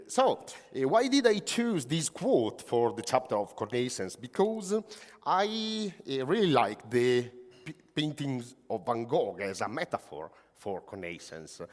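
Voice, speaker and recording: A man with an Italian accent giving a conference talk, pausing often with many filler sounds. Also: medium pitch. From Italy. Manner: halting, full of "uh" fillers. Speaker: conference speaker, technical coach. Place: large conference hall. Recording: long hall reverb.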